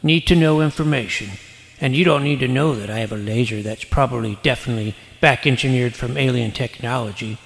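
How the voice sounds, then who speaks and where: An actor playing a nerdy middle-school boy who narrates his own superhero exploits in a dry, self-important, deadpan way. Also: mid-range voice, fairly quick, vocal fry, no echo. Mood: earnest. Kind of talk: scripted audio drama narration.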